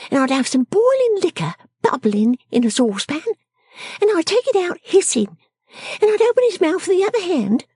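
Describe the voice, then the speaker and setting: A woman voicing a sharp-tongued, sickly young girl dressmaker from a storybook, bossy, scolding and snappish. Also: extremely high pitch, no room sound, voice pushed up, exaggerated voice. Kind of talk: storybook character acting.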